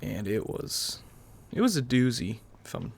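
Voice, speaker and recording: A man's voice: mid-range voice, very animated, a little slow, creaky, a little mellow, breathy edge. A young American man giving casual, rambling let's-play commentary as the host of a gaming channel. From New York, United States. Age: young adult. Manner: rambling, self-qualifying.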